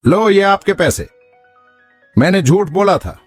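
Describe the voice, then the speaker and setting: Cartoon casting: man as curious male passenger, earnest and reasoning, animated pitch, faint music underneath. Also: unhurried, slightly rough, full character voice. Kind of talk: cartoon story dialogue.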